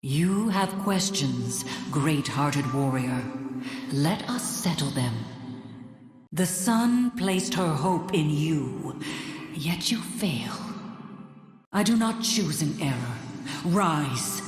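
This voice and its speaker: A solemn moon goddess from a fantasy game. She speaks slowly and formally in a lowish register, like an oracle keeping secrets, her words ringing through long reverb over music. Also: lively intonation. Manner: measured, oracular, formal.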